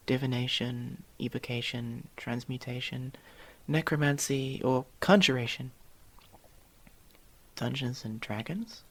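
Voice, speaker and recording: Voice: medium pitch, lightly acted. A performer voicing a curious, proper young elf mage student in an ASMR roleplay, inquisitive and a little formal, with hesitant stammers. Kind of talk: ASMR roleplay, one-sided dialogue with the listener.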